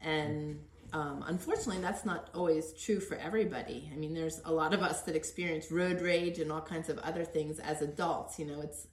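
Low, even-voiced woman in an echoey room. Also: brisk, few pauses. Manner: earnest, encouraging. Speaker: podcast host interviewing. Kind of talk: conversational interview.

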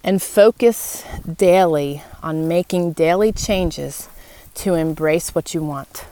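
A woman's voice: low-pitched, slow pace, warm tone, dry recording. A woman hosting a motivational, faith-led podcast monologue, speaking earnestly and encouragingly, with emphatic repeated phrases. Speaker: podcast host. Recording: clearly noisy background.

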